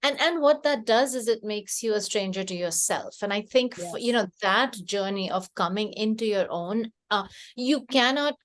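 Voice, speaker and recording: A woman's voice: mid-range voice, normal speed, hardly any pauses. Thoughtful middle-aged Indian-accented woman. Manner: thoughtful, warm, many fillers and restarts. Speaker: author. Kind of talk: casual conversation. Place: library event.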